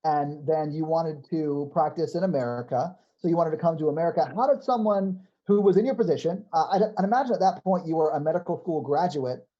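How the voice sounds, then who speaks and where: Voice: medium pitch, a little fast, few pauses, dark tone, muffled recording. A podcast host interviewing a guest in a chatty way, thinking aloud through long questions. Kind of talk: conversational interviewing.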